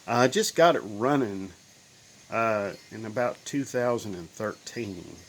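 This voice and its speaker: A man's voice: fairly low pitch, lively intonation, unhurried, slight background noise. A man in his fifties with bronchitis, sounding a bit nasal, rambling casually about his old car while filming himself on a phone in his cluttered garage. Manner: rambling, folksy, lots of ums and restarts. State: sick with bronchitis, slightly nasal. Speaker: car owner vlogger. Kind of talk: casual walkthrough vlog. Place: cluttered home garage indoors. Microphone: phone held at arm's length.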